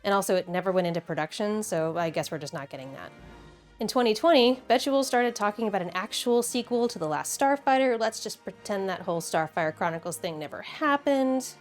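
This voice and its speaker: A woman hosting a video with casual, informal commentary, expressive and wryly opinionated. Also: mid-range voice, fairly quick. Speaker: video host. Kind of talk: casual video commentary with game play reactions. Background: background music.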